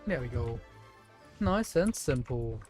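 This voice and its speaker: A man's voice: medium pitch, very animated, slow, music in the background. A man giving casual live gameplay commentary, chatting playfully to the characters and enemies as he plays. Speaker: video game streamer.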